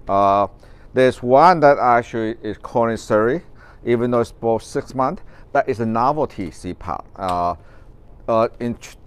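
A man's voice: medium pitch, clean tone. A middle-aged man from Taiwan with a Taiwanese accent, talking casually in a greenhouse live stream, rambling with many fillers and restarts. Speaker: orchid grower and show host. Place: large greenhouse.

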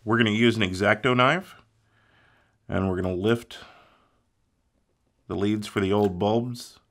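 A man's voice: fairly low pitch, a little slow, fairly bright tone. A man walking through an electronics repair step by step in a plain, methodical way. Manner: methodical, plain instructional delivery. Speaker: repair instructor.

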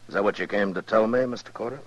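Man doing a no-nonsense western marshal for old radio, deep husky voice, few words. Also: racing, lo-fi sound, natural voice. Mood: stern warning. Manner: terse, firm, plain-spoken. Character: stern, unbribable frontier US marshal. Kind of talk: 1950s radio western acting.

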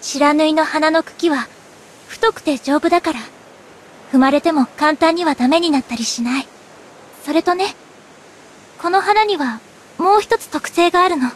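A woman playing a gentle, quiet teenage girl in an anime game, speaking in a reserved, sincere way. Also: sits high, moderate pace, long pauses, slight background noise, close to an everyday voice. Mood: calm, solemn. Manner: soft-spoken, reserved, sincere.

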